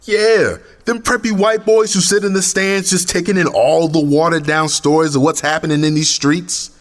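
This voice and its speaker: An actor playing an intense, embittered young community activist in an audio drama, impassioned and slangy. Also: male voice, on the high side, little pitch movement, normal speed, in a large hall, natural voice. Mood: bitter, militant.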